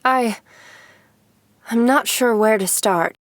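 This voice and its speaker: A woman's voice: a little high, slow pace, a little hiss or hum. A woman playing a poised, secretive femme fatale with a guarded, polished manner in a noir radio drama. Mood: guarded, hesitant. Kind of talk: 1940s noir radio acting.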